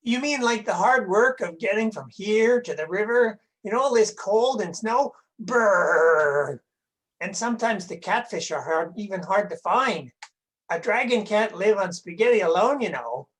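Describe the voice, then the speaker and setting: A woman voicing a childlike, excitable and easily distracted dragon in a scripted children's church dialogue, eager and a bit hesitant. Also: medium pitch, normal speed, close to an everyday voice, low-quality recording. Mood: eager, chatty.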